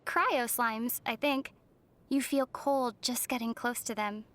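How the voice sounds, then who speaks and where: A woman voicing a young traveler heroine in a video game, gentle and wry with a soft, teasing manner. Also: a little high, slow pace. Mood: calm.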